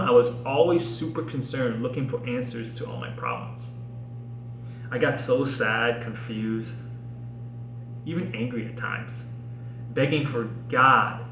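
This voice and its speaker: A man in his late thirties reading his own personal writing aloud, earnestly and emphatically, with dramatic pauses. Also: on the high side, a bit bright. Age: late 30s. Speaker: author and podcast host reading own book. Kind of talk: expressive audiobook-style personal narration. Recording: roomy echo, faint background noise.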